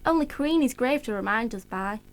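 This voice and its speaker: A woman's voice: a little high, expressive, brisk. A woman acting a matter-of-fact working-class gossip in a radio play, blunt and slangy. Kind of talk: colloquial radio play dialogue.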